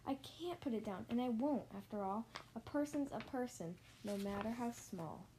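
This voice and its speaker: Woman as an earnest, gentle male elephant, noisy recording. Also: on the high side, lively intonation, moderate pace, natural voice. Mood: worried, resolute. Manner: earnest, emphatic, polite.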